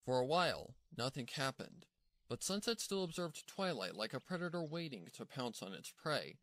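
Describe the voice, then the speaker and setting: A man reads scripted fiction as the narrator. His mid-pitched voice moves up and down a lot, giving the storytelling an animated shape. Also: moderate pace, dry recording.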